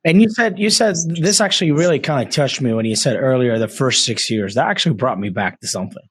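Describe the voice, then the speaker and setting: Brief: co-host, thirties, from Iraq, earnest and personal, dry mic. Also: male voice, medium pitch, animated, quick pace, fairly bright tone, slightly rough, dry recording. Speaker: podcast co-host. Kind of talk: casual podcast interview.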